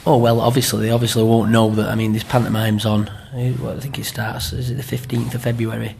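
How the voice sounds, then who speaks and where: A middle-aged man from an amateur cast talks in a Greater Manchester accent. His voice sits low and stays fairly level, with plenty of gravel in it. He chats in a rambling way, checking facts with little tag questions. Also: flat delivery, quick pace, darkish tone, a little hiss or hum. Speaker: amateur pantomime performer being interviewed. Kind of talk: chatty interview recollection.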